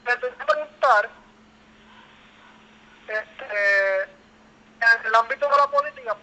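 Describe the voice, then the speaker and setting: A man with a high voice interviews a guest over a video call. His tone is very bright and sharp, and he repeats his words hesitantly as he works through his questions. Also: slow pace, poor audio.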